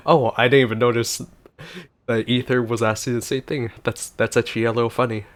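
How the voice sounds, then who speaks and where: A man giving casual gameplay commentary, musing aloud in halting phrases as he plays. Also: mid-range voice, steady tone. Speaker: let's play streamer. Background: game music.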